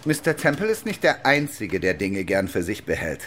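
An actor playing a suave, guarded major with dry irony in a radio drama, speaking in a formal, deliberate way. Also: male voice, fairly low pitch, big pitch swings, a bit bright, a little hiss or hum.

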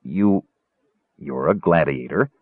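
A man's voice: a bass voice, animated, takes it slowly, no echo, voice pushed down, exaggerated voice. A man voicing a big, clumsy and shy male warrior who speaks hesitantly and stammers. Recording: tinny phone-like dry audio.

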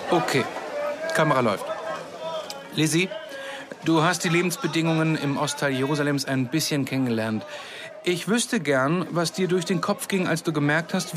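Actor as proud, guarded filmmaker amid street noise. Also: male voice, on the high side, moderate pace, a crowd in the background, close to an everyday voice. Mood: earnest. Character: proud, secretive, anxious Palestinian filmmaker, defensive husband. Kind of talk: German radio drama dialogue. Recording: noisy outdoor street ambience with traffic.

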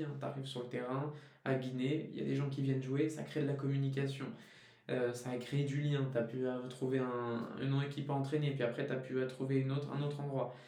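A man's voice: medium pitch, warm tone. A man hosting a casual interview, asking long, rambling, tentative questions. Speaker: podcast host, interviewer. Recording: echoey, distant from the microphone.

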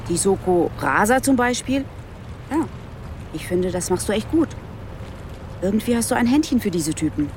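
An actress around forty playing a sarcastic, skeptical police detective in a naturalistic radio drama, asking dry, pointed questions. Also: female voice, medium pitch, very animated, moderate pace, long pauses, a little mellow, slight background noise, lightly acted. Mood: conciliatory.

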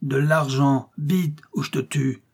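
A brutal rebel bandit chief performed by a male narrator in an adventure storybook. He is gruff and threatening, with a husky edge to his commands. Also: mid-range voice, unhurried, warm tone. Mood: menacing. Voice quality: rough, gruff.

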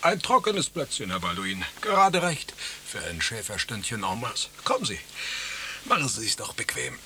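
A sly crab hunter in a radio play, a man with mid-pitched, very lively intonation. He is florid and mock-courteous. Ambient noise is clearly heard around him. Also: normal speed, full character voice. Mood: sly, playful. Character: Adrian, sly, florid crab hunter playing host outdoors. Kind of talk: German radio play acting.

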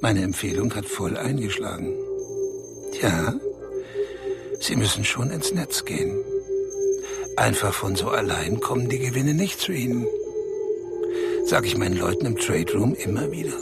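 A man's voice: medium pitch, creaky. An actor playing a boastful, ruthless male finance boss in a radio drama, declaiming theatrically and with menace. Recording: radio play with loud music.

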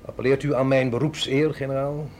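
A man's voice: medium pitch, fairly even intonation, a little fast, a little mellow, slight background noise, close to an everyday voice. An actor playing a capable, reluctant but dutiful male agent in a radio drama, with a dry, wry manner. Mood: wry, resigned. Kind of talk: Dutch radio drama acting.

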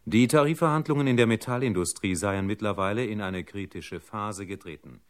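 A sober radio newsreader played by a man with a low voice. He delivers a scripted bulletin in formal, measured phrases. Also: close to an everyday voice.

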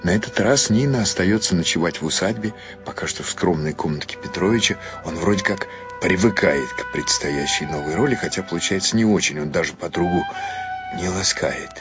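Low-voiced man narrating literary prose. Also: fairly quick, music in the background. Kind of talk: dramatized literary audiobook narration.

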